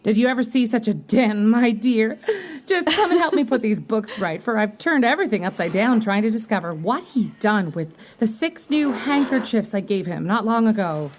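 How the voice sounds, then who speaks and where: A woman's voice: on the high side, animated, moderate pace, few pauses, a little hiss or hum, lightly acted. A woman playing a fussy, motherly older landlady in an audio drama, exclaiming and fondly scolding.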